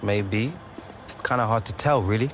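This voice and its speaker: A man's voice: lowish voice, moderate pace, a little hiss or hum, close to an everyday voice. An actor playing a guarded, cocky young male footballer, casual and teasing, in a video game drama. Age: young adult. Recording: loud music over the dialogue.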